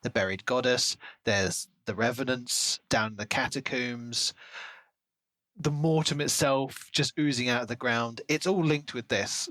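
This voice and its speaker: A male podcast co-host talks through a book in casual conversation. He is analytical and a little wry, speculating as he goes. His mid-pitched voice is recorded dry, with no room sound. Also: a bit bright, dry recording.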